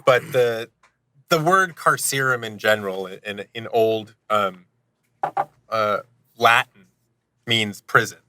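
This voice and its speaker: A man's voice: fairly low pitch, takes it slowly, pauses often, background noise. A man talking casually as a podcast guest, joking with a straight face. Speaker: guest, audio series creator. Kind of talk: casual podcast interview chat.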